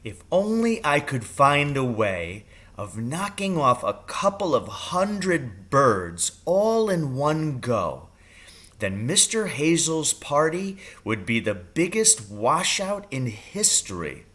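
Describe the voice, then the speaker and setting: A man voicing a scheming, excitable father from a children's storybook, with a theatrical, intense delivery. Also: fairly high pitch, slow pace, exaggerated voice. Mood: secretive, plotting. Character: scheming, excitable father, conspiratorial poacher with a grudge. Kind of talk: children's audiobook character reading.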